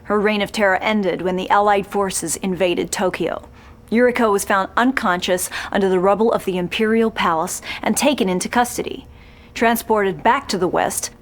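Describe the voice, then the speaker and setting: A woman playing a serious, dramatic television special reporter in a video game, narrating in a polished, measured and ominous way. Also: mid-range voice, natural voice.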